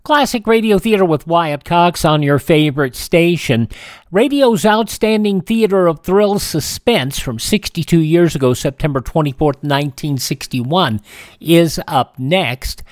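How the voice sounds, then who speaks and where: A man's voice: fairly high pitch, animated, unhurried, no echo. A man hosting a radio show, introducing programmes in a presenterly, conversational style. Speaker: classic radio show host.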